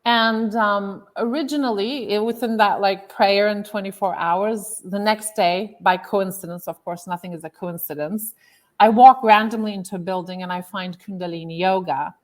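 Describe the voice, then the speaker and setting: A woman's voice: medium pitch, fairly even intonation, normal speed. A woman from Turkey in her thirties, a guest coach telling her life story in a loose, chatty way with many fillers. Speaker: guest, author and transformational coach. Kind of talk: casual interview chat.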